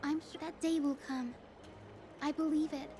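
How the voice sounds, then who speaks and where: Cutesy young female game character, voiced by a woman: polite, sweet, sky-high pitch, music underneath. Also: steady tone, moderate pace, natural voice. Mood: sweet, hopeful. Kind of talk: video game voice acting.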